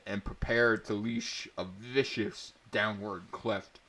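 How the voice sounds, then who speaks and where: Slow, low-voiced man narrating fantasy dramatically. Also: a bit bright. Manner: mock-serious, theatrical. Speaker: story reader, narrator.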